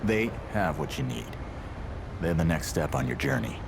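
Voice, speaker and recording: An odd male seer from a video game. His low voice swings up and down as he speaks in cryptic, halting, distracted rambles. There is background noise. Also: animated, creaky, exaggerated voice. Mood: assured, odd. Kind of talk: video game character dialogue.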